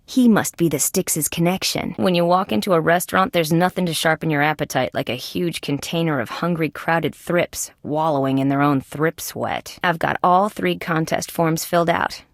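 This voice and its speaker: A tough female detective from a video game, with a lowish, gravelly voice. She is dry and sardonic, delivering questions and observations matter-of-factly. The sound is close and dry. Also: normal speed, few pauses, dry recording. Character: wry, tough female insect police detective. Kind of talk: scripted game dialogue and observations.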